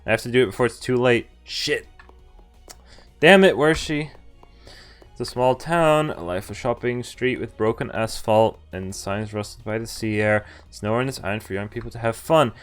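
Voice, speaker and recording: A man's voice: mid-range voice, normal speed, faint music behind, natural voice. Visual novel protagonist read by a man: regretful young man in love, earnest, emphatic, clean tone. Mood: urgent, searching. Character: anguished, lovelorn young man full of regret. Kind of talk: dramatic read-aloud of visual novel narration.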